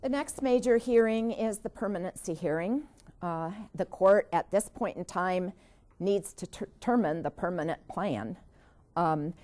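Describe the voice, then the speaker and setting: A woman giving a training presentation on court hearings, putting one question after another to the audience, with frequent "uh" fillers. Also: lowish voice. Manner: steady, with many "uh" fillers and a run of questions to the audience.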